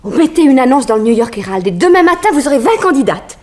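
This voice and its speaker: A tactful female assistant to a detective in a 1960s radio drama. Her voice is fairly high, deferential and gently persuasive. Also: big pitch swings, fast talker. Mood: encouraging. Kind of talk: 1960s French radio serial acting.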